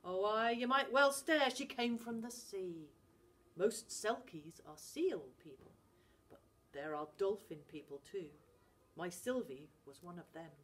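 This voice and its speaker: Woman doing a gruff father. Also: medium pitch, expressive, measured pace. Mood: grave. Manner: loud scolding. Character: gruff Scottish fisherman father, stern.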